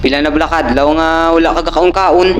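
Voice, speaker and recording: A woman's voice: low voice, steady tone, fast, natural voice. A woman with a Filipino Hiligaynon accent playing a chatty, caring, teasing household co-worker in an amateur radio drama, informal and nagging. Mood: concerned, nagging. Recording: echoey room with music.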